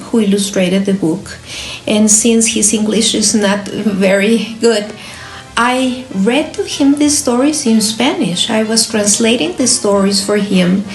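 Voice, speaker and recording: A woman author reflectively presenting her own book, speaking in an earnest, deliberate, measured manner. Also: mid-range voice. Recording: roomy echo, music behind.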